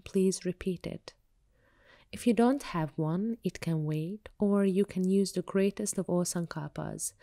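A woman yoga teacher leading a guided meditation with soothing, measured, unhurried instructions. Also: on the low side, dark tone.

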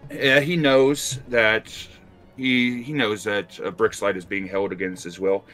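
A man's voice: lowish voice, fairly even intonation, a little slow, fairly bright tone, poor audio. A man playing a tabletop role-playing game, narrating what his character does. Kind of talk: narrating own character's actions. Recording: music behind the voice.

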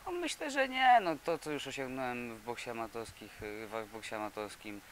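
A young male boxer in his twenties from Poland, answering interview questions haltingly with many fillers and repeated words, recorded on a handheld mic in an indoor gym. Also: mid-range voice, animated, fairly bright tone, slight background noise. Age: 20s. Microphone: handheld reporter mic.

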